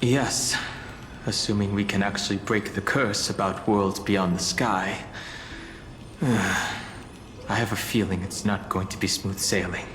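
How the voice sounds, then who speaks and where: An actor playing a calm, reserved, dependable young man who works as a guard in a video game, measured and understated. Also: fairly low pitch, a little hiss or hum. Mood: pensive, guarded. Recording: echoey hall reverb, clear music underneath.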